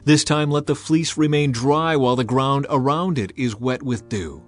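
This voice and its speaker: A man plays a humble, fearful Israelite man in a dramatized scripture story. He speaks earnestly and pleadingly at a middle pitch, with music behind him. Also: moderate pace, lightly acted.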